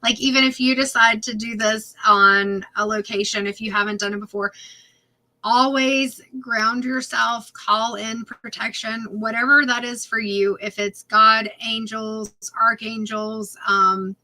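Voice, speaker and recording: A woman's voice: a little high, steady tone, measured pace, bright, forceful tone, poor audio. A woman co-hosting a casual paranormal podcast, speaking earnestly and giving advice, with frequent ums and restarts, her voice a little worn. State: losing her voice, clearing throat. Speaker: co-host.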